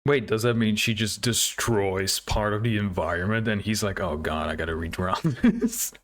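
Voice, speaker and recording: A man's voice: on the low side, animated, normal speed, fairly bright tone. A man hosting a casual reaction video, thinking aloud in hesitant fragments. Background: game trailer music.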